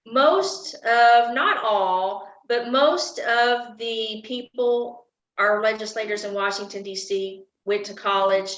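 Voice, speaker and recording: A woman's voice: on the high side, takes it slowly. A woman running for office, answering interview questions in a casual, talkative way and laughing often. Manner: laughs often, self-described loud voice. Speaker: political candidate being interviewed. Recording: echoey, dull lo-fi recording.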